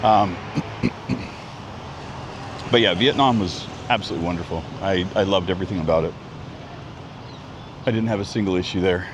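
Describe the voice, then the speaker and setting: A man's voice: low voice, moderate pace, pauses often. A man in his fifties from Canada, chatting casually on a live travel vlog, rambling with lots of ums and restarts. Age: 50s. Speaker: travel vlogger. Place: outdoors at a busy market street. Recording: street traffic noise outdoors. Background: traffic and street noise.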